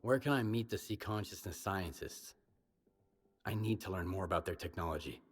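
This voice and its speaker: A man playing a gruff, stoic survivor hero in a video game, blunt and husky. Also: sits low, normal speed. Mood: serious, probing.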